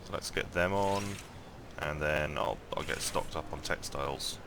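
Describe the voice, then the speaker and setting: Croaky low-voiced man thinking aloud. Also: moderate pace, background noise. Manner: thinks aloud, rambling with many ums and restarts. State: croaky morning voice. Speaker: mobile game let's-play host. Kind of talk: casual gameplay commentary.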